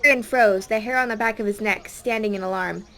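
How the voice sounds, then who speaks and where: A woman's voice: mid-range voice, brisk, pushed and bright. A woman reading a scripted audiobook story as a dramatic narrator.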